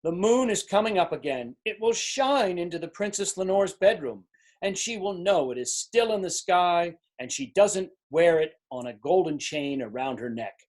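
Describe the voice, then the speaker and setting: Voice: mid-range voice, lively intonation, moderate pace, a little mellow, lightly acted. An amateur reader playing an anxious, gloomy, demanding king in an emphatic storybook stage reading. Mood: mournful. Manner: exasperated, emphatic, mournful.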